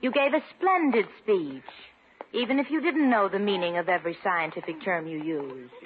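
An actress playing a supportive, gently teasing lady friend in a 1950s radio sitcom, polite and wry. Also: medium pitch, animated, normal speed, close to an everyday voice. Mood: playful. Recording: noisy narrow-band old radio recording.

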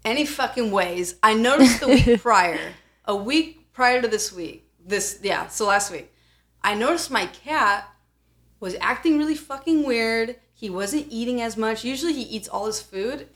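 A woman's voice: fairly high pitch, animated, normal speed, a bit bright. Foul-mouthed young American woman telling stories. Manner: foul-mouthed, blunt, jokey. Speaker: podcast co-host. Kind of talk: casual chat, animated storytelling.

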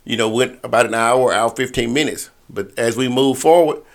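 A man's voice: mid-range voice, normal speed, gravelly. A man leading a conference call, giving an informal briefing to officials and often repeating words and circling back to stock phrases. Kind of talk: informal briefing to volleyball referees.

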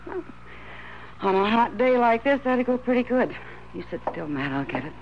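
A woman's voice: fairly high pitch, animated, moderate pace. An actress playing a wry, world-weary frontier woman with an easygoing manner in a 1950s radio western. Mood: friendly. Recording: muffled, noisy old radio recording.

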